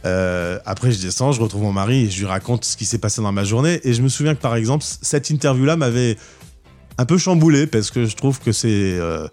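A man's voice: mid-range voice, expressive, brisk, a little mellow, faint music behind. A man hosting a radio show, chatting casually in an interview with enthusiasm and frequent stammered restarts. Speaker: radio host. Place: radio studio.

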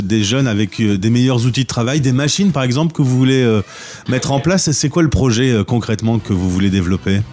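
Male radio host, relaxed interviewer. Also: mid-range voice. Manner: relaxed, hesitant with fillers.

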